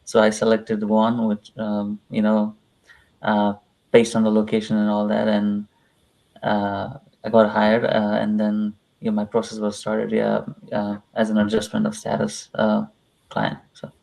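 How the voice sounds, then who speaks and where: A man's voice: lowish voice, completely flat delivery, measured pace, vocal fry, darkish tone, rough recording. A young man from Nepal, a guest in a live interview, talking casually and hesitantly with many fillers. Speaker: interview guest, visa client.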